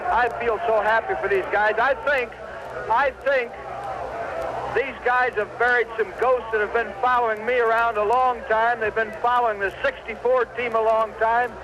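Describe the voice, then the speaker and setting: A man's voice: very high-pitched, fairly even intonation, moderate pace. A man speaking in a post-game celebration interview. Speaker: baseball player. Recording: dull, very noisy archival interview. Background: loud celebration noise.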